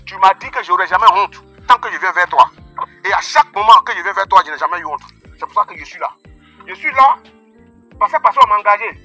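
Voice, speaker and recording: A man with an Ivorian accent speaking outdoors at a village grave, addressing his ancestor in emphatic, chanting, repetitive calls, with a husky, strained voice. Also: sits high, expressive, fast talker, pushed and bright, soft background music. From Ivory Coast. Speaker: self-described traditional practitioner.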